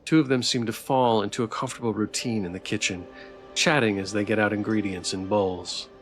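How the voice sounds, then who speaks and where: A man's voice: fairly low pitch, steady tone, a little fast. Man narrating a game over music. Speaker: streamer reading a visual novel aloud. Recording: background music.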